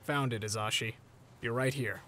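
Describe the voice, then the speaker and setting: A man voicing a tired, grumpy adult male hero teacher in a comic dub, deadpan and understated. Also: medium pitch, moderate pace, natural voice. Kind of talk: animated comic dub voice acting.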